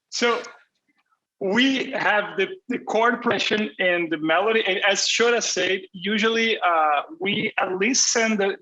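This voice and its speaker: Bright, high-voiced man presenting casually. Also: poor audio. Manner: joking, teasing. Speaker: panel presenter, composer. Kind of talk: casual live presentation on a video call. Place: online video call.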